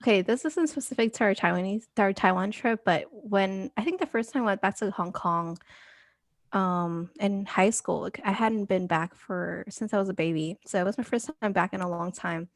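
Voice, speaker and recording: A woman's voice: medium pitch, normal speed, no room sound. Chatty young woman, dry close mic. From United States. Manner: chatty, many fillers, laughs easily. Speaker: podcast co-host. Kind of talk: casual chat.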